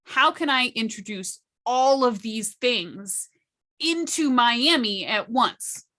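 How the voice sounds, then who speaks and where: Bright forward voice, woman a bit high, casual game talk, stretches out key words for emphasis. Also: lively intonation, slow. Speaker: panelist at a tabletop roleplaying storytelling workshop. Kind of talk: casual, animated explanation of roleplaying storytelling.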